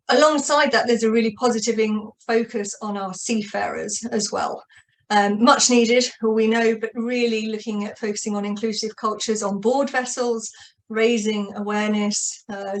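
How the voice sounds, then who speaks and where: A woman's voice: mid-range voice, low-quality recording. A woman hosting an online webinar and opening it with upbeat remarks over a video call. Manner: upbeat, many fillers and restarts. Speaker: webinar host.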